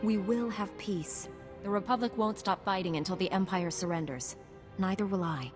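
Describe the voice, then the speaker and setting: A woman voicing an earnest, resolute Jedi Knight hero in a video game, with a measured, firm way of talking. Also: medium pitch, natural voice. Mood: earnest resolve. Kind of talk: video game cutscene acting. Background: game music.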